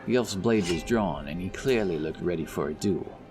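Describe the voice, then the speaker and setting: A man's voice: low voice, normal speed. A man narrating a scripted fantasy audiobook as storyteller. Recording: narration over loud background music. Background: music, outdoor sound effects.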